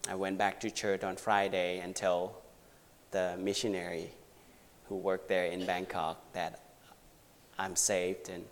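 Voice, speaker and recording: A man with a Thai accent giving an earnest, halting testimony as a visiting preacher in a church. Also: low-pitched, a little hiss or hum. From Thailand. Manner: halting, earnest, many pauses and fillers. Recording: reverberant hall.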